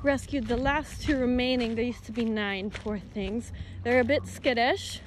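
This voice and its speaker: A woman's voice: on the high side, steady tone, moderate pace. A woman giving a casual outdoor tour on a handheld phone, talking affectionately as if to animals. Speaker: ranch owner giving a tour. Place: outdoors in an open dry pasture. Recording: noisy outdoor phone recording.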